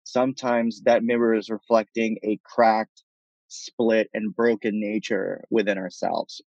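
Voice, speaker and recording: A man from Puerto Rico speaking as a thoughtful podcast guest, laying out his ideas in articulate, measured phrases. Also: lowish voice, flat delivery, creaky, darkish tone, no room sound, dull audio. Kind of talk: reflective interview conversation.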